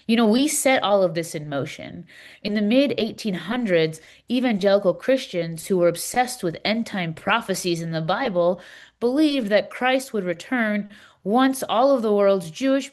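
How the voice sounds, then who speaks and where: Earnest, low-pitched woman patiently explaining as an actor. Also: close to an everyday voice. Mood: patient, explanatory. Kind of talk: readers theater dialogue, conversational explaining.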